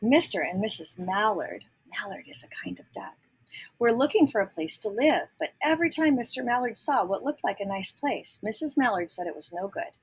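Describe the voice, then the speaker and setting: A woman reading a children's storybook aloud expressively, pausing to add simple explanatory asides. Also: medium pitch, animated, slightly rough, low-quality recording. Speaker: storybook reader.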